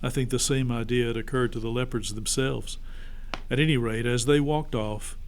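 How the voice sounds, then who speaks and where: A man's voice: medium pitch, steady tone, normal speed, poor audio. A man reading a children's storybook aloud as a narrator.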